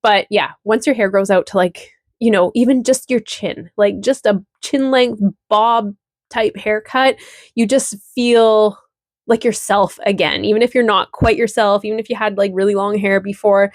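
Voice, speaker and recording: A woman's voice: mid-range voice, steady tone, normal speed, dry recording, studio quality. A woman vlogger giving a casual personal update, chatty, informal and candid.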